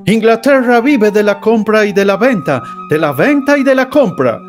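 A man's voice: high voice, lively intonation, moderate pace, faint music behind. A man playing an arrogant, contemptuous British banker in an amateur radio drama, lecturing the others. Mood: scornful. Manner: lecturing, scornful.

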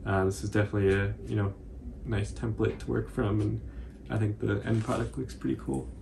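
Young man co-hosting a how-to, lowish voice with vocal fry, easygoing hesitant wording, slight background noise. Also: level tone, a little fast, a little mellow. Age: young adult. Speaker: cosplay tutorial co-host. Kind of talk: casual explanatory chat. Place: small furnished room indoors, on a couch.

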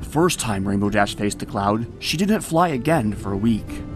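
A man's voice: on the low side, animated, moderate pace, over music, close to an everyday voice. A man voicing an earnest cartoon pony news reporter, grave and overwrought in a mock broadcast style. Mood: grave, probing.

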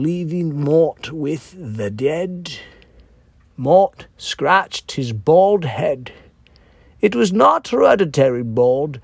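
A man narrates a macabre dark comedy with theatrical relish. His pace is slow and deliberate, with a gravelly creak in a fairly high-set voice. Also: dry recording. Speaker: author reading own story.

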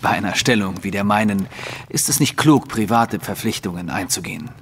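A man's voice: fairly low pitch, brisk, natural voice. Haughty male aristocrat for audio drama, played by an actor: assured, formal, reverberant hall with faint noise. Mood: businesslike, urgent. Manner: formal, commanding, self-assured. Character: haughty, secretive nobleman of high rank.

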